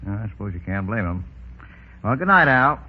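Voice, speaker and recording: An actor playing a shrewd, forceful undercover lawman in a 1930s radio drama. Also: on the low side, big pitch swings, normal speed, slightly rough. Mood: sly, probing. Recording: muffled 1930s radio recording, loud music.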